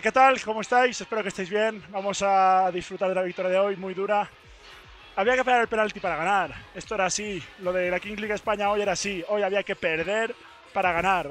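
A hoarse young man who has lost most of his voice, chatting excitedly to his stream on a headset mic in a studio booth. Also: sits high. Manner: excited, repetitive. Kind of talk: casual stream chat.

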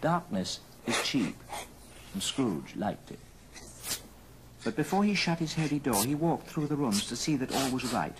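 A man narrating a story dramatically, with deliberate pauses, in old radio drama style. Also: mid-range voice, sing-song, background noise.

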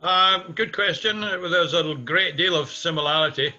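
A man's voice: on the high side, moderate pace, sharp tone. An older man from Scotland with a Scottish accent, reminiscing thoughtfully and hesitantly in an interview over a video call. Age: 60s or older. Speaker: interviewee, community theatre music director. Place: small home room indoors. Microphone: webcam video call. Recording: muffled video call audio, music underneath.

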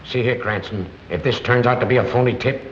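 A man's voice: mid-range voice, fast, close to an everyday voice. An actor playing a curt, irritable male police commissioner giving orders in an old-time crime drama. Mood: brusque, irritated. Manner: curt, commanding. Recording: echoey, noisy, muffled old film soundtrack.

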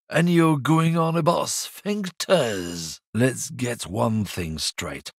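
A man voicing a surly, bigoted middle-aged lorry driver in a video game, gruff and combative, swearing often. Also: medium pitch, no room sound, studio quality, heavily acted. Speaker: game character.

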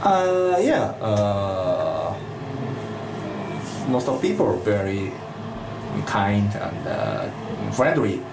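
A man with a Japanese accent, chatting casually in halting learner English and searching for words. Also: fairly low pitch, sing-song, creaky, heavy background noise. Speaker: vlogger, English student. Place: small indoor lesson cubicle. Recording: echoey small room.

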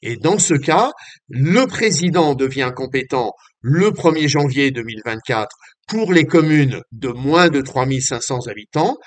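A man in his sixties with a French accent answers as an expert on a video call. He speaks in long, methodical, formal sentences at a medium pitch. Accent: French from France. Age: 60s. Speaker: invited expert, legal specialist. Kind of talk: detailed explanatory interview answers. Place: small home room, on a video call.

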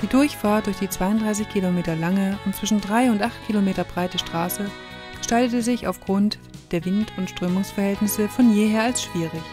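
A woman's voice: mid-range voice, moderate pace. A woman reading a scripted travel narration for a vlog. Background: music.

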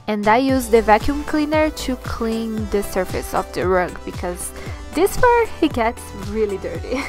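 A young woman from Brazil with a Brazilian Portuguese accent, talking through a sewing tutorial in her second language with chatty, slightly halting phrasing. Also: a little high. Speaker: sewing channel host. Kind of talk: friendly sewing tutorial talk and voiceover.

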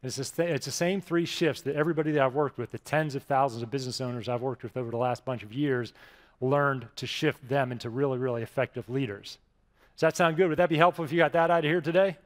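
A man's voice: medium pitch, fast talker. A man in his forties with an American accent, giving a conversational keynote speech with self-deprecating stories and frequent restarts, on a headset mic in front of a live audience. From Pittsburgh, USA. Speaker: keynote speaker. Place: large conference stage with audience. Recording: long hall reverb from stage.

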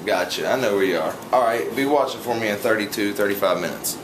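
Young man with a low voice making a prank phone call. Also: fast. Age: young adult. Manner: smooth-talking, teasing, casual slang. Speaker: prank caller. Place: home kitchen at night, indoors. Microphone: phone held close to mouth, camera close by. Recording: noisy home recording.

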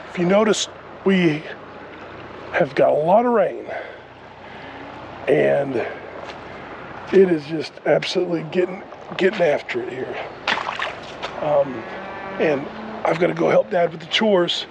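Hoarse man, lost his voice. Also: on the high side, darkish tone. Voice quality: hoarse, strained. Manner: plain, halting with fillers. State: lost voice from a cold. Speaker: farm vlogger. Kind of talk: casual vlog talk to camera. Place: outdoors on a rainy farm. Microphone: camera held at arm's length. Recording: noisy outdoor recording.

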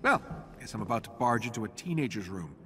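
A man's voice: fairly low pitch, big pitch swings, fast, fairly bright tone, a little hiss or hum. A middle-aged American man playing a cocky, wisecracking genius inventor hero in a video game, flippant and sarcastic. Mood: wry. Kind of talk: game cutscene acting.

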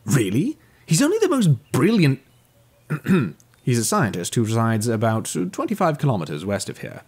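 An actor playing a brisk, earnest police detective in an audio drama, speaking formally with wordy, precise diction. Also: male voice, mid-range voice, huge pitch swings, darkish tone, husky.

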